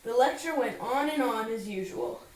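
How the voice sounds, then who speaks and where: Female storybook narrator, expressive bedtime read, high pitch, echoey room. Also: moderate pace. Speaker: storybook reader. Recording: roomy, distant microphone.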